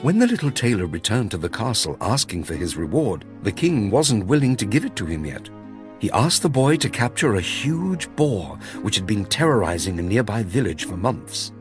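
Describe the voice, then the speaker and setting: A man narrating a children's fairy tale in an expressive, theatrical storytelling manner. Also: on the low side, normal speed. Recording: music behind the voice. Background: music.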